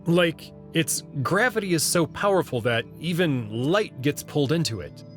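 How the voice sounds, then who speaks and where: A man's voice: fairly high pitch, lively intonation, moderate pace, soft background music, natural voice. A man voicing a wary young man stranded on an alien ship, speaking plainly and asking questions.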